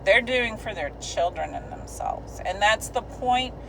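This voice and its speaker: A woman's voice: medium pitch, animated, measured pace, vocal fry. A middle-aged woman with a Texas accent giving an emotional, rambling personal monologue, often repeating and restarting her words. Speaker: blogger and commentator. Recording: distant mic, loud traffic noise.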